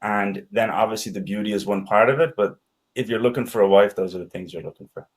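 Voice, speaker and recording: Low-pitched guy, danish native speaker, chatty and casual, lots of "right?". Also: fast talker, breathy edge. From Ireland and Denmark. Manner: relaxed, rambling, uses filler "like" and "right?". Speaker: podcast guest, sales expert. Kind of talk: casual conversation.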